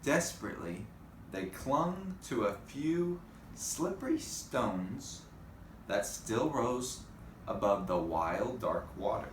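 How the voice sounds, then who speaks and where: Slow, expressive man reading to children, echoey. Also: fairly low pitch. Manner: expressive, addressing young listeners. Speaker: storybook reader. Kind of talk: children's read-aloud with chatty asides. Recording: echoey room, faint background noise.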